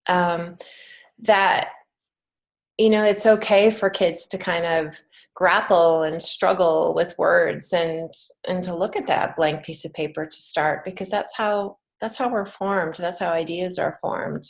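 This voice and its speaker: An American woman with a slightly low-pitched voice talks as an interview guest. She is earnest and encouraging, pausing thoughtfully as she explains her views in a conversational way. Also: fairly even intonation. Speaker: guest, children's book author and writing teacher.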